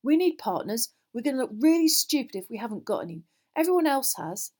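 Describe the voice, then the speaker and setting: A woman's voice: high voice, sing-song, normal speed, a little mellow, voice pushed up. A woman voicing a blunt, impatient, sulky teenage schoolboy in a children's fantasy audiobook.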